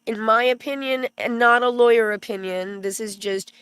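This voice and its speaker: A woman's voice: fairly high pitch, steady tone, normal speed, fairly bright tone, clean tone, dry recording. A woman hosting a casual commentary stream, chatty and sarcastic, who stops to read legal text aloud. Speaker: commentary channel host.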